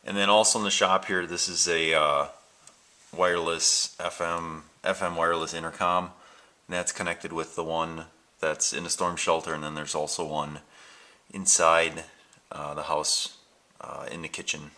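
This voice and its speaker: A man's voice: low voice, level tone, moderate pace, sharp tone. An American man giving a casual, unscripted home show-and-tell of his gadgets, thinking aloud in a hesitant way. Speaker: amateur video maker showing his gadgets. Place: indoors at home and in a workshop. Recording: noisy amateur home video with handling clicks.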